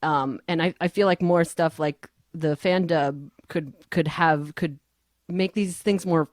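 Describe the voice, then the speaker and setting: A woman with a low-pitched voice moderates a casual panel discussion. She talks haltingly and conversationally, recorded dry with little room sound. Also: no echo. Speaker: podcast moderator.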